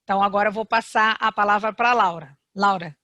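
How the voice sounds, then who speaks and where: A woman's voice: mid-range voice, fairly quick, pushed and bright, no room sound, muffled recording. A Brazilian woman with a Brazilian Portuguese accent, courteous and conversational as she hosts an online class and introduces a guest lecturer.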